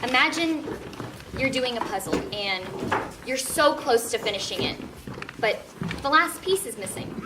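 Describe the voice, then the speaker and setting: A young woman acting in a one-act stage play as an earnest, stubborn adopted woman searching for answers. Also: high voice. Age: young adult. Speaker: stage actor. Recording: distant echoey room recording, clear background noise.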